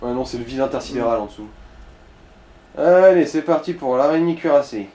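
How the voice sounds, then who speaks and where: A man's voice: medium pitch, expressive, fairly quick, dark tone. A man chatting casually in colloquial speech as he coaches another player through a game, giving tips as co-commentator. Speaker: let's play co-commentator guiding the player. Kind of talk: casual gaming chat. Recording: echoey room, faint background noise.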